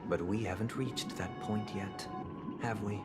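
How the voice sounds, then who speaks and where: An actor playing a courteous, self-righteous zealot villain in a video game, speaking softly, formally and deliberately. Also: male voice, fairly low pitch, steady tone, over loud music, natural voice. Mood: calm, polite menace. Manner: soft, formal, deliberate, preaching. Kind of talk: scripted video game voice acting.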